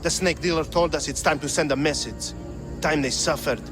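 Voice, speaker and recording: An actor playing a blunt, embittered working-class thug of ancient Athens in a video game. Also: male voice, a little high, moderate pace, lightly acted. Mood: defiant. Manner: blunt, defiant. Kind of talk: video game dialogue acting. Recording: music under the voice.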